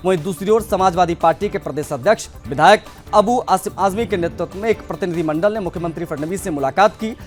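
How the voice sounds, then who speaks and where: A man's voice: high voice, fast talker, faint music behind. A man anchoring a news broadcast, presenting reports and putting questions to a correspondent.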